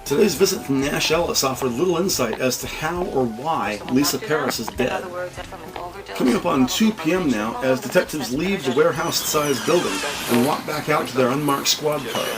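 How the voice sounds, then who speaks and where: A man delivering deliberate, dramatic scripted narration for a police procedural. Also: fairly high pitch, lively intonation, recorded from a distance, over music, rough recording.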